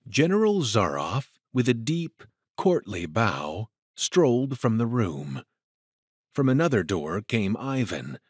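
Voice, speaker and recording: A male narrator with a fairly low, noticeably husky voice reads dramatic fiction. His intonation moves widely, and the sound is close and dry. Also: very animated, slow, no room sound. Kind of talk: dramatic scripted story narration.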